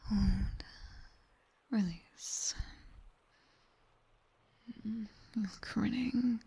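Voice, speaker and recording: A British woman playing a sharp-tongued, teasing demoness tutor in a soft, whispered ASMR roleplay. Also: mid-range voice, husky. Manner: slow, hushed, teasing and bossy.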